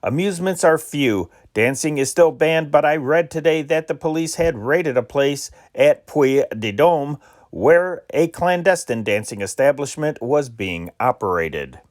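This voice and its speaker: A man's voice: a little high, animated, unhurried. A man reading old newspaper news dispatches aloud in an amateur style, with occasional slips and restarts. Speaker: reader of historic news dispatches.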